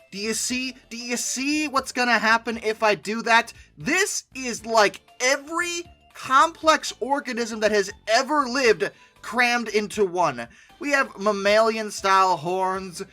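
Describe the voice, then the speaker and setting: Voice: medium pitch, a little slow, sharp tone. A commentator giving humorous, ranting reaction commentary in a theatrical, exasperated, sardonic way, repeating phrases for emphasis. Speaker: host of a paleoart commentary video. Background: background music.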